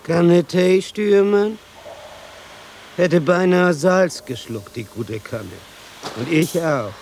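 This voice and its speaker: A man's voice: sits high, slow pace, darkish tone, slight background noise. A man playing an eager, obliging young ship's hand in a German radio play. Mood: eager, loud.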